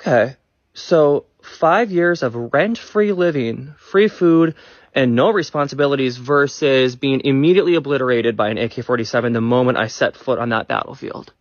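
A man's voice: medium pitch, moderate pace, no echo. Flippant young man, dull lo-fi clip. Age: young adult. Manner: flippant, exasperated, joking. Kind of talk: casual monologue video.

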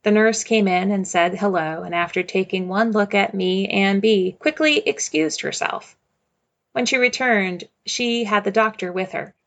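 A woman recounts a personal story in a measured, reflective voice at a middling pitch. A gravelly, creaky texture runs through her speech, and she keeps coming back to the same quiet refrain.

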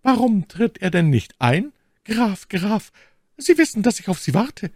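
A scheming noblewoman with a soft, honeyed high voice that hides menace, as a male reader puts her on. She sounds tender and secretive, lifted far above the deep narrating voice. The audiobook recording is close and dry. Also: very animated, unhurried, dry recording, exaggerated voice. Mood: anxious.

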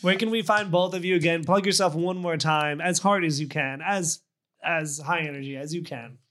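Male comedy podcast host, bright crisp-edged higher voice, conversational banter. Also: moderate pace. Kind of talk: casual, joking podcast chat.

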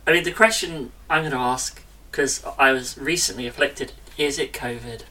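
Woman, podcast co-host, bright tone, a touch off-mic, chatty and self-correcting. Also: extremely low pitch, normal speed, far from the mic, rough recording. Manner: chatty, self-interrupting, lively. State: recovering from illness. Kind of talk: casual chat.

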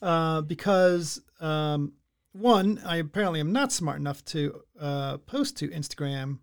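A man's voice: fairly high pitch, animated, measured pace. A middle-aged man co-hosting a podcast in casual, crude, joking banter with many restarts. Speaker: podcast co-host.

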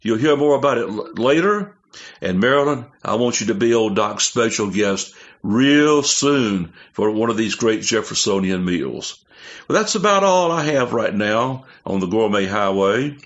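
A man's voice: lowish voice, lively intonation, a little slow. A man gives a folksy, showman-like travel and food report for a radio show. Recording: dull lo-fi sound.

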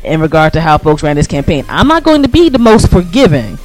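A man's voice: a little high, very animated, brisk, a little mellow, faint music behind. An American man co-hosting a radio show, giving casual political commentary in an emphatic, colloquial, slangy way.

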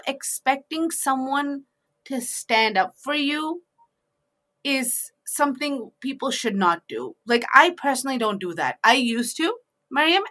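A woman's voice: high voice, fairly bright tone. A woman hosting a two-person discussion, giving informal, emphatic advice in a conversational way.

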